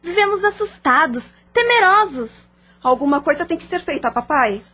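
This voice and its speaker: Scared, insistent Brazilian daughter played by a woman, very high voice, audio drama, muffled recording. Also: lively intonation, moderate pace. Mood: frightened, insistent. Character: frightened, insistent young daughter, a student. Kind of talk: audio-novel acting, formal scripted dialogue.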